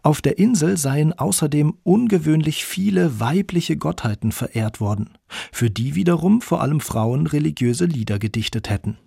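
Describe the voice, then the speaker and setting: A man's voice: a little high, animated, normal speed, vocal fry, warm tone, slightly rough, dry recording, clean studio sound. A man reading scripted narration for a radio documentary.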